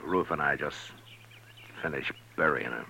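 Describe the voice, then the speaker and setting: An actor playing a stern, steady American frontier marshal in a 1950s radio western, measured and plain-spoken. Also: male voice, very deep voice, creaky, slightly rough, exaggerated voice. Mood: somber, gentle. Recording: dull old radio recording.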